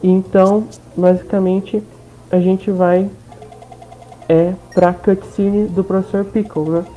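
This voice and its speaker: A woman's voice: medium pitch, level tone, slow pace, a little hiss or hum. A woman with a Brazilian accent giving a casual, informal let's play recap as voice-over, often checking in with listeners. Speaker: gaming video host. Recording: dull lo-fi voice-over.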